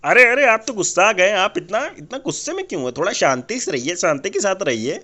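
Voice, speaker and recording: A man's voice: high voice, big pitch swings, fairly quick, bright, forceful tone, heavily acted. A man playing a nosy, placating caller in a comedy phone-call sketch, exclaiming and asking inquisitive questions. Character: nosy, placating male caller interviewing a motivational speaker.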